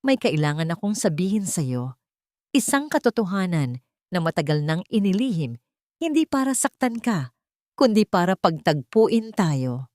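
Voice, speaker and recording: A woman voicing a wronged mother in an audiobook drama, gentle, grave and resolute, with soft, measured, earnest speech. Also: fairly low pitch, very animated, no echo, studio quality. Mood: gentle confessing.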